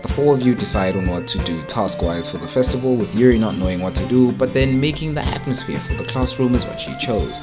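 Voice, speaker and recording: A man narrating a casual, comedic video game recap in an irreverent, wry way. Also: fairly low pitch. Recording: music behind the voice.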